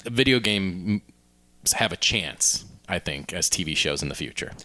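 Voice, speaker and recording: A man with a lowish voice hosts a casual podcast. He explains things conversationally, with a dry, wry edge. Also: lively intonation, normal speed, bright, forceful tone. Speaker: podcast host. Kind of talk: casual chat.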